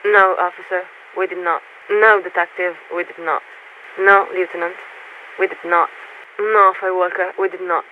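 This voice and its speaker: An actress playing a formal, detached military warship radio officer in a video game, speaking in clipped official procedure through a radio filter. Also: female voice, on the low side, flat delivery, moderate pace. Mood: formal, firm. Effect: radio transmission filter. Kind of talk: scripted video game voice acting. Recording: narrow, tinny radio link with static.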